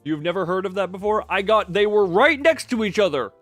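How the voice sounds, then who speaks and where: A man with a fairly high voice commentates on his game livestream over music. He thinks aloud in a casual, rambling way, often letting sentences trail off unfinished. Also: animated, fairly quick, a bit bright, dry recording.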